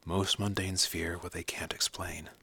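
A woman voicing a kind older male wizard who speaks softly and calmly, sometimes close to a whisper. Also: rumbling low, slightly rough, no echo, pitched below the performer's own voice, exaggerated voice. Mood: gentle. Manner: soft, slow and gentle, often near a whisper. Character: kind old male wizard, calm and protective.

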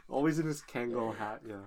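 A man's voice: medium pitch, unhurried, a crowd in the background. A man hosting a podcast in casual chat, teasing his co-hosts and laughing often. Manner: teasing, laughs often, keeps coaxing others. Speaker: podcast host.

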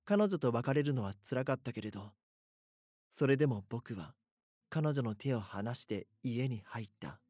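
A haunted teenage boy, voiced by a man, tells his own horror story in a radio-play style. He sounds earnest and dramatic, with a middling pitch and a close, dry sound. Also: lively intonation, measured pace, a little mellow, dry recording. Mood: somber, uneasy. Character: frightened schoolboy haunted by his doppelganger. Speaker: voice actor narrating a drama. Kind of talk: first-person scripted horror narration.

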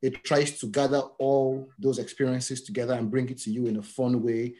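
A man hosting a casual interview, whose first language is Yoruba, talking in a relaxed, friendly way and often repeating himself with fillers. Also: medium pitch, moderate pace. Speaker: podcast host.

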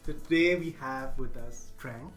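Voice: mid-range voice, unhurried, faint music behind. A podcast host introducing a guest and asking short, friendly interview questions. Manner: upbeat, brief acknowledgments.

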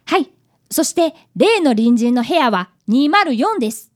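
An earnest young schoolboy apprentice detective in a radio drama. The voice is high and lively, with polite phrasing as he narrates and talks. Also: unhurried. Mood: earnest, worried.

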